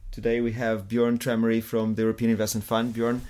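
Male interviewer with a medium-pitched voice. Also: completely flat delivery, a little fast, darkish tone. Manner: interjecting with short prompts and questions. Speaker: interviewer, host. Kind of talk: casual conversational interview.

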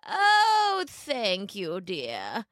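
A woman voicing a sly wolf who impersonates a sick old grandmother, speaking slowly with feigned sweetness. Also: wildly swooping pitch, fairly bright tone, no echo, clean studio sound, heavily acted. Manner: slow, feigned sweetness, wavering pitch.

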